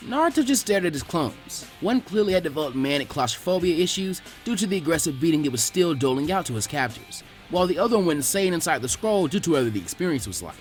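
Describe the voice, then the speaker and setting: A man narrating fan fiction as an animated scripted story reading. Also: on the high side, fast, hardly any pauses, faint music behind.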